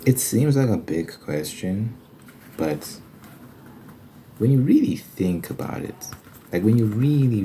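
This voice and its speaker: A man speaking in a casual, halting ASMR style, explaining religious ideas informally and posing questions as he goes. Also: deep voice, warm tone, poor audio. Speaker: ASMR video creator. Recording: faint background noise.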